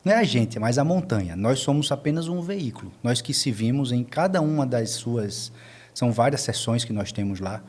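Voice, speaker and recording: A man with a Brazilian accent, a podcast guest explaining conversationally, haltingly searching for words and repeating himself. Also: medium pitch, animated, a little mellow. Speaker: podcast guest, media team member.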